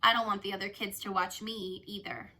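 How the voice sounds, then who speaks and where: A woman's voice: mid-range voice, little pitch movement, brisk, pushed and bright. A woman voicing a frank, curious, chatty young teen girl in a children's storybook. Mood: confiding. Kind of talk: storybook character reading.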